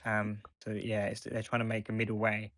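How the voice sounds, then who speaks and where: A man co-hosting a casual fan podcast, talking in a halting, hesitant way as he reviews. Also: lowish voice, completely flat delivery, fairly bright tone, dry recording, clean studio sound. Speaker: podcast co-host.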